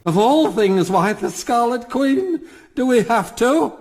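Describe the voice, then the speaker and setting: Very high-voiced reluctant cartoon complainer. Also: male voice, expressive, takes it slowly, exaggerated voice. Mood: reluctant, pleading. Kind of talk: animated film character acting. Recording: very noisy film clip with clicks.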